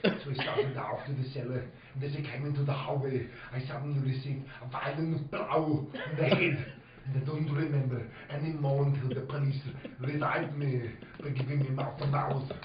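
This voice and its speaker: A man playing a flustered French duke who testifies haltingly in a live radio-play parody, recorded off mic in an echoey venue. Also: mid-range voice, fairly even intonation, lo-fi sound, slight background noise, close to an everyday voice. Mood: halting, solemn. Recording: distant, echoey stage with audience.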